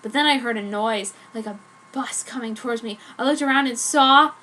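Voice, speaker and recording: A woman's voice: on the high side, fairly quick, pushed and bright. A woman narrating amateur fan fiction in the first person as a theatrical dramatic reading. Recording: noticeable background noise.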